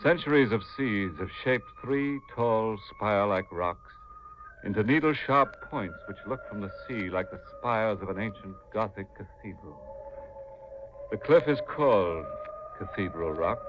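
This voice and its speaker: An actor playing a slyly jovial, macabre horror host on 1950s radio, theatrical and mock-courteous in manner. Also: male voice, fairly low pitch, animated, measured pace. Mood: grave, ominous. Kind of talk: 1950s radio mystery hosting and narration. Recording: muffled 1950s radio recording with music.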